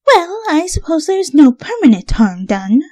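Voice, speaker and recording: A woman's voice: high-pitched, big pitch swings, measured pace, mellow, dry recording, studio quality, voice pushed up. A woman voicing a refined, genteel female pony in a story reading, polite and formal. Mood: composed.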